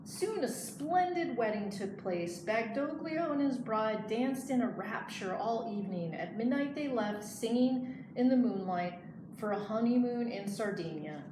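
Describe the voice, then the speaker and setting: A woman librarian reading a picture book aloud in an expressive storytelling style. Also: a little high, measured pace. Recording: echoey room, clear background noise.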